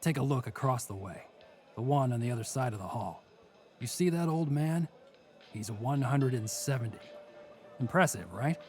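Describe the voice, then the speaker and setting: A man playing a world-weary, menacing prison inmate in an audio drama sketch, speaking in a deliberate, scornful, taunting way. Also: medium pitch, expressive, darkish tone, soft background music, natural voice. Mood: grim, menacing. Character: mocking, world-weary male prison bunk mate, menacing.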